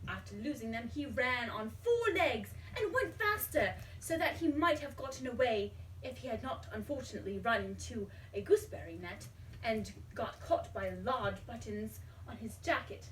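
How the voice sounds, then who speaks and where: A woman reading a children's storybook aloud in an expressive, dramatic way, pausing to put questions to a young child. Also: on the high side. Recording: roomy, distant mic, faint background noise.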